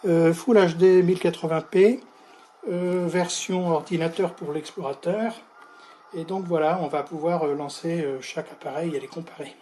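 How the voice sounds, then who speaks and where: A man giving a casual review of a tablet, hoarse and halting, with frequent filler sounds. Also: fairly high pitch, steady tone, dark tone, slight background noise. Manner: hesitant, many filler sounds. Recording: music clearly playing behind voice.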